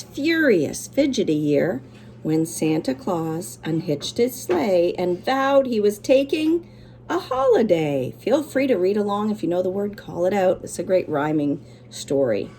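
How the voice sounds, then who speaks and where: A woman's voice: medium pitch, very animated, unhurried, warm tone, a little hiss or hum. A woman teacher doing a children's read-aloud, with simple, encouraging explanations for young listeners.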